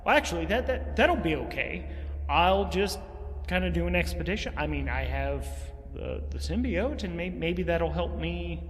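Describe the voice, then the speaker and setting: A man's voice: fairly high pitch, animated, moderate pace, big-room sound, natural voice. Man playing a hesitant, stammering space traveller. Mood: resigned. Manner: stammering, tentative, courteous. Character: polite, hesitant male space traveller seeking help.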